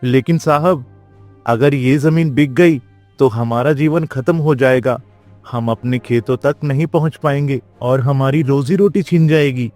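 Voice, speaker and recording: A man playing an authoritative village figure in a moral-story cartoon, speaking in a deliberate, declamatory way. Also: mid-range voice, a little mellow, soft background music, natural voice. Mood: worried. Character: domineering landlord and earnest village headman in a cartoon.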